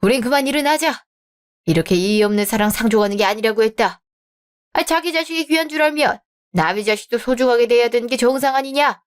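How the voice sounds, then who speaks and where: A woman's voice: on the high side, quick pace, clean tone, natural voice. A woman voicing a stern, protective middle-aged father in a radio drama who speaks curtly.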